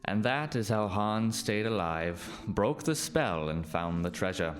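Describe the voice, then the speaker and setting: A man's voice: fairly low pitch, expressive, measured pace. A man narrating a scripted folk tale as the storyteller in a radio play.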